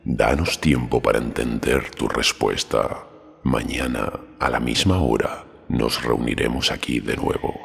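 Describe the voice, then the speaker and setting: A man voicing a solemn ancient eagle-headed sage in a storybook, with a deep, full voice, speaking quietly and gravely. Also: fairly even intonation, exaggerated voice. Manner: slow, quiet, grave and formal. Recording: long hall reverb, music behind.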